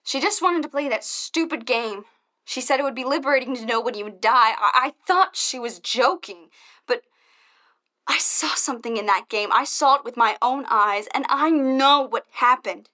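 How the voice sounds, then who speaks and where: A grieving young woman played by an actress with a high, sharp, tense voice. She speaks in halting, emotional phrases as a shaken witness in a radio drama. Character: grieving, shaken young female best friend of victim.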